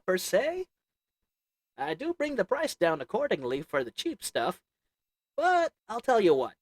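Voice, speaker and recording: Performer doing a goblin merchant, sly, wheedling, sarcastic, swooping pitch, dry close sound. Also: dry recording. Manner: wheedling, sarcastic salesman patter; chuckles between lines. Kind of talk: audio roleplay acting to the listener.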